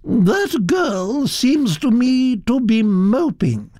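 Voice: medium pitch, big pitch swings, very slow, dark tone, no room sound, studio quality, voice pushed up, exaggerated voice. A narrator voicing a blunt, gossipy elderly duchess in an audiobook, her voice lifted well above the narrator's own. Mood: commanding. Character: imperious elderly aristocratic duchess. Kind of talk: audiobook character voice.

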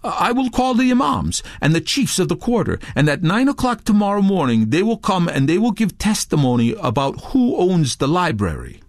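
Man voicing a high, nervous, protesting library assistant. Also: wildly swooping pitch, normal speed, few pauses, no echo, studio quality, full character voice. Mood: improvising defiance. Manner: stammering, protesting. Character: nervous but insistent male library assistant under accusation.

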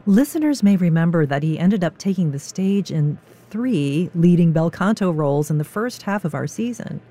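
A woman hosting a radio broadcast, composed and smooth as she presents and asks questions. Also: fairly low pitch, mellow.

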